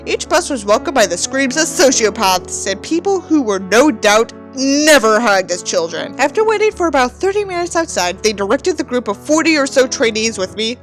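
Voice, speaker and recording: A woman's voice: a little high, sing-song, moderate pace, few pauses, faint music behind. A woman giving a dramatic reading with theatrical, mocking delivery and sardonic asides. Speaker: host reading a book aloud with mocking commentary.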